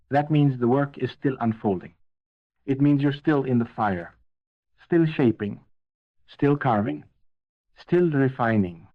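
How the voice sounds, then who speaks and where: A man delivering a scripted motivational speech as a speaker, intense and emphatic, in short punchy phrases. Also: mid-range voice. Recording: narrow phone-like band, thin lo-fi sound.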